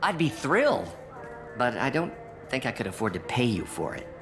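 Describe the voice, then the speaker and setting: A man playing an eager, chatty, deferential software shopkeeper in a video game, polite and enthusiastic. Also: mid-range voice, very animated, moderate pace, over music, close to an everyday voice. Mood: pleased.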